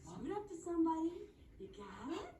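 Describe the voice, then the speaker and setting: A woman's voice: extremely high pitch, sing-song, fairly quick. A woman giving playful, coaxing sing-along instructions to young children in simple phrases. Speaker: children's action-song leader. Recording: echoey, distant playback under loud music. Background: loud children's song music.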